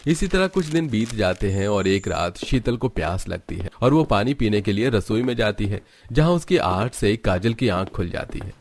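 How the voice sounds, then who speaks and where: A man's voice: lowish voice, big pitch swings, normal speed, hardly any pauses. A man reading scripted storybook narration for a cartoon tale.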